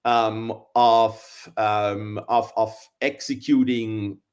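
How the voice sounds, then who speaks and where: A middle-aged man from Switzerland, answering interview questions in a measured, hesitant way with many fillers. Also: lowish voice, level tone. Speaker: guest, engineering consultant.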